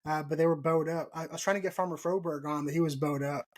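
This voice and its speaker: A man's voice: on the high side, steady tone, fast talker. A man in his thirties from Texas hosting a casual gardening podcast over a video call, laughing often and repeating himself. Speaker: podcast host. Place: home room, indoors. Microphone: video call mic.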